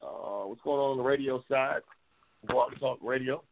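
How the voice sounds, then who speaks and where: A man's voice: mid-range voice, normal speed. A man in his seventies hosting a casual live stream, joking and trash-talking with viewers as he reads their comments. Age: 70s. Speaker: host. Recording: tinny phone-like lo-fi sound.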